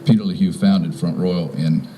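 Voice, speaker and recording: A man in his fifties or older from Virginia giving an informal, halting welcome speech as a property owner. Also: sits low, steady tone, dark tone, a little hiss or hum. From Virginia, United States. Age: 50s or older. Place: outdoors in front of a house.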